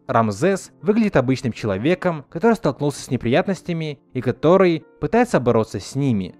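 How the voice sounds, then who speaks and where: A man's voice: on the high side, very animated, fairly quick, darkish tone. A man narrating a scripted video essay as a reviewer, speaking in an earnest, opinionated way. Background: background music.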